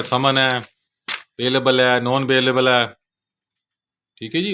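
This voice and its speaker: Male seller, Pakistani accent, mid-pitched voice, informal walk-through delivery, noticeably noisy recording. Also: sharp tone. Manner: repeats a polite verbal tag at sentence ends. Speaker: bookseller presenting products. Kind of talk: casual product overview, unscripted.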